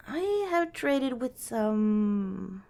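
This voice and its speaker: A woman playing a friendly, protective young wanderer in an ASMR roleplay, chatting casually and gently with hesitant pauses and small chuckles. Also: a little high, vocal fry, heavily acted. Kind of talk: ASMR roleplay, casual one-sided dialogue.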